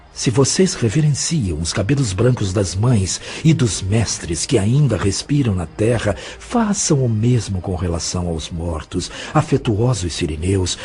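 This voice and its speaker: A man plays a grave Brazilian spirit mentor in an audio drama, low in pitch. He exhorts with solemn, formal compassion over faint music. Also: a little mellow. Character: grave, compassionate spirit guide preaching to the living.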